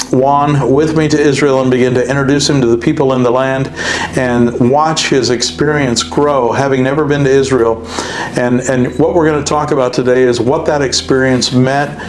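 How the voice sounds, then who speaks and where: A man hosting a faith-based interview, speaking earnestly with frequent pauses and filler sounds. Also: medium pitch, slight background noise, rough recording. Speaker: host and ministry president.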